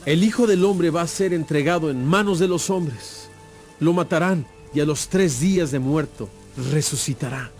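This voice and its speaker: An authoritative teacher played by a male actor speaks with solemn, emphatic weight in a dramatized scripture recording. The voice is a little high for a man and declamatory, over faint music. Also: normal speed, a little mellow, close to an everyday voice. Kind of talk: dramatized audio Bible acting.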